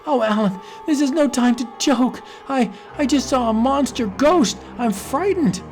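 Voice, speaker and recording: A woman voicing a frightened young female fashion model in an old cartoon, shrill and panicky. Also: sing-song, normal speed, soft background music.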